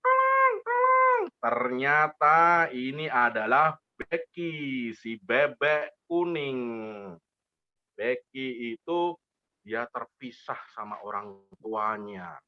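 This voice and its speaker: A man's voice: mid-range voice, slow, dry recording, muffled recording. A man telling a story to young children in a playful, interactive way, repeating phrases and asking questions.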